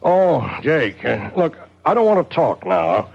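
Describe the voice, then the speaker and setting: An actor playing a desperate, obsessed man telling his tale in a 1970s radio drama, theatrical and halting. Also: medium pitch, very animated, gravelly, slightly rough, heavily acted. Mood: shaken. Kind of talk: 1970s radio drama acting, first-person narration. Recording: dull old radio recording, music behind.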